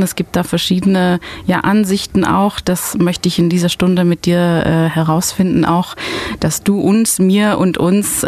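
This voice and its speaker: A woman's voice: on the low side, darkish tone, no room sound. A woman who is not a native German speaker, hosting a radio talk show from a small padded studio, talking in a hesitant way with many fillers. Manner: hesitant, many fillers, laughs at own word choices. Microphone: studio microphone.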